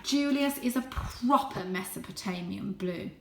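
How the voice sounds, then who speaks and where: A woman's voice: medium pitch, animated, measured pace, vocal fry, slight background noise. A woman voicing a stern, proud, disapproving father cat in a storybook. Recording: roomy echo.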